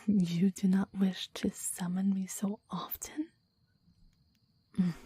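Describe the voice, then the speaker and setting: Kadının canlandırdığı çok yumuşak, fısıldayan, sahiplenici iblis. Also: female voice, mid-range voice, little pitch movement, dry recording. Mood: attentive, deferential. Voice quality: soft, breathy whispering. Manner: slow, formal, intense and adoring. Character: possessive, devoted clingy demon bound to a summoner. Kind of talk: intimate ASMR roleplay, whispered.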